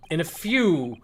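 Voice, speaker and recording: A man's voice: fairly high pitch, slightly rough, full character voice. A man voicing a smug, menacing courtroom prosecutor in a video game, with a condescending, theatrical manner.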